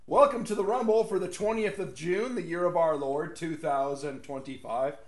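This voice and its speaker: A middle-aged American man hosting a casual solo radio show, rambling and folksy, with a slightly hoarse voice. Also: a little high, normal speed. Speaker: radio and podcast host.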